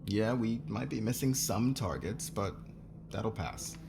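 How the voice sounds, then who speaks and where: A man's voice: lowish voice, lively intonation, measured pace, gravelly, background noise. A man playing a curt, sarcastic, hot-tempered company boss in a fantasy ASMR roleplay. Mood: defensive, guarded.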